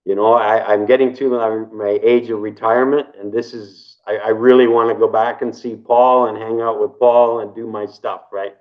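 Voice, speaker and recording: A low-pitched man in his fifties from Canada, talking casually in an interview. He rambles, repeats himself and keeps tagging sentences with "right?". The recording sounds dull and lo-fi. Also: little pitch movement, moderate pace, few pauses. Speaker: interview guest.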